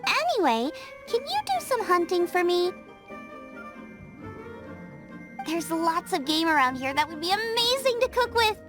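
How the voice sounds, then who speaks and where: A bubbly young chef in a video game, high-voiced and eager. Her voice swings up and down as she gets carried away by food, and soft game music runs underneath. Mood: friendly. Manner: eager and food-obsessed, quick to get excited.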